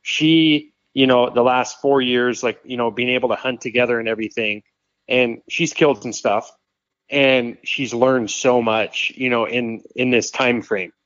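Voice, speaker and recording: A man in his thirties chats as a podcast guest, rambling along quickly with lots of "like" and "you know." His voice sits in a mid range. The recording sounds somewhat dull and muffled. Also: mid-range voice, steady tone, a bit bright, dry recording. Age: 30s. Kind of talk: casual conversation.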